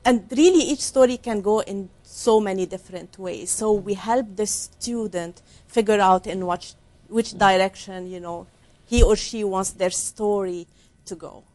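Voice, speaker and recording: Middle-aged woman, soft and earnest, talking career counseling in a tv studio. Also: mid-range voice, unhurried, poor audio. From Lebanon. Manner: soft-spoken, earnest, explanatory. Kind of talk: studio interview. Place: small TV studio set, seated at a desk. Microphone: lapel mic.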